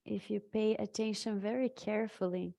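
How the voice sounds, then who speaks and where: A woman leading a live guided meditation in a soothing, halting way, leaving long pauses between short phrases. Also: medium pitch, steady tone, creaky, warm tone.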